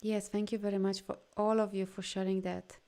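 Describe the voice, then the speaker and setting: A woman's voice: medium pitch, flat delivery, fairly quick, warm tone. A woman who is a non-native English speaker, talking informally as a coach to live viewers in an effusive, encouraging manner. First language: not English. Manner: effusive, encouraging, often reading out comments. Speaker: energy healing coach hosting a live session.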